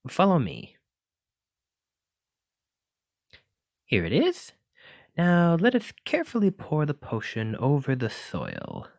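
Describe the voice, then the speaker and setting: A man's voice: a little high, vocal fry, no echo, studio quality, exaggerated voice. A man voicing a clumsy, kindly wizard in an ASMR roleplay, courteous and apologetic, stammering when flustered. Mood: gentle, delighted. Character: clumsy, kindly wizard bookseller teaching magic. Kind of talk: ASMR roleplay addressed to listener.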